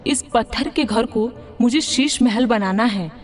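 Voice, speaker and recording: A woman's voice: on the high side, unhurried, soft background music, natural voice. A woman voicing a spoiled, whiny young city bride in a Hindi cartoon, demanding and dropping in English words. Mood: angry, demanding. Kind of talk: Hindi cartoon moral story dialogue.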